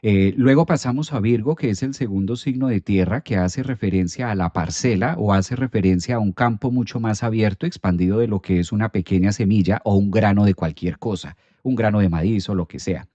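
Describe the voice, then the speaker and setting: A man giving a calm, explanatory astrology talk during a live stream, with an occasional filler "eh". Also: on the low side, a little fast, few pauses, no echo, muffled recording.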